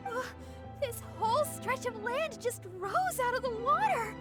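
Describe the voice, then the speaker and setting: A woman voicing a youthful, lighthearted, curious female companion in a video game, girlish and casually expressive. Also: extremely high pitch, a little slow. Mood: amazed. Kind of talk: animated video game acting. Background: faint music.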